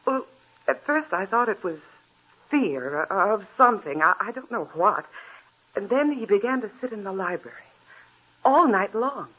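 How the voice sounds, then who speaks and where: An actress playing an anxious young wife in a 1940s radio drama, earnest and pleading. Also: female voice, medium pitch, animated, normal speed, pushed and bright, breathy edge, full character voice. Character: anxious young married woman, devoted wife. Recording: muffled old radio recording.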